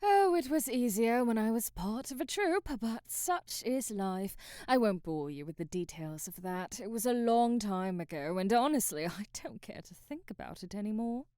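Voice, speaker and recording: A woman's voice: on the high side, expressive, moderate pace, few pauses, dark tone, no room sound, clean studio sound, natural voice. A woman playing an eccentric traveling magician, brash, teasing and flirtatious, in an intimate, theatrical ASMR roleplay. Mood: hesitant, candid. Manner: theatrical, teasing, drawling asides and murmurs.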